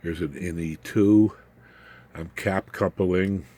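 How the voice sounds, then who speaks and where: An older man giving a casual, unscripted walkthrough as a hobbyist presenter, in a folksy, halting way with wry asides. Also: low-pitched, fairly even intonation, dark tone.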